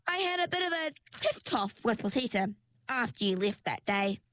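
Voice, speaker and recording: A woman's voice: a little high, very animated, normal speed, fairly bright tone, dry recording, clean studio sound. A woman voicing a frazzled female sea-creature waitress who talks in a chatty, gossipy way.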